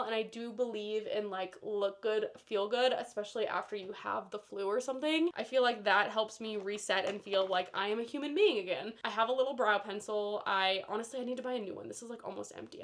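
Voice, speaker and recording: A woman chatting casually to camera while doing her makeup. She sounds slightly nasal and stuffed up from a cold, and she rambles with lots of "like" and "you know.". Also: fairly high pitch. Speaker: beauty vlogger.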